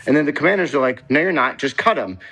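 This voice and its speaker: A man's voice: fairly high pitch, lively intonation, fast, pushed and bright, breathy edge, poor audio. A man speaking as a football insider in a casual interview, very colloquial and acting out imagined exchanges. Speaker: NFL insider, show guest. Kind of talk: casual sports talk interview.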